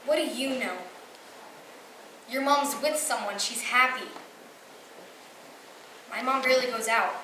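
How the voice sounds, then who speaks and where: A woman's voice: on the high side, a little slow, pauses often, bright, forceful tone. A young teenage girl performing a stage monologue as a frustrated, vulnerable teen confiding emphatically in a friend. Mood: frustrated, bitter. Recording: roomy, distant, noisy live recording.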